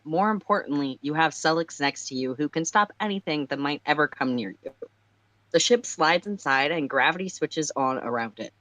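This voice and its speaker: A storyteller reading fiction aloud in an expressive narrating style. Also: mid-range voice, no echo, low-quality recording.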